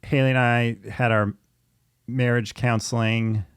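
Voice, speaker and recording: A man's voice: mid-range voice, level tone, measured pace, smooth, dry recording. A man in his thirties chatting casually and candidly as a podcast host, laughing easily as he talks. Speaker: podcast host and therapy client. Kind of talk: casual conversational chat.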